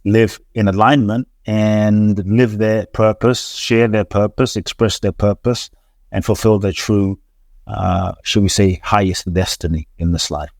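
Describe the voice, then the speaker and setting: A man's voice: on the low side, fairly even intonation, measured pace, gravelly, a little mellow, no room sound, studio quality. A man who grew up in London, a teacher talking as an interview guest in an earnest, reflective way with many restarts and repeated words. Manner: earnest, repetitive, frequent restarts and stammered repeats. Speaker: transformational teacher, podcast guest.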